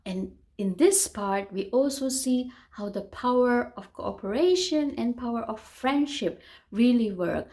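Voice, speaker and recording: A woman reading a children's story aloud in an expressive way, exclaiming and putting questions to her listeners. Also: fairly high pitch. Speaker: storytime reader for a children's book club. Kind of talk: animated children's read-aloud with commentary to listeners.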